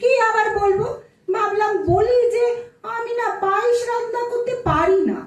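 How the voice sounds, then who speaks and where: Very high-pitched petulant young wife, acted by a woman. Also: fairly even intonation, normal speed. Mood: indignant, complaining. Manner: huffy, dramatic, complaining. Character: petulant young married daughter, indignant at in-laws. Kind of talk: Bengali audio-play acting on stage. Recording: echoey room, distant mic.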